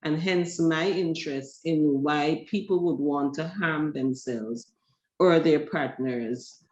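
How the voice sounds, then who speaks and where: A woman from Guyana, a researcher, presenting her work in an academic webinar in a measured, deliberate way. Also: low voice, a little mellow. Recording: echoey, dull call audio.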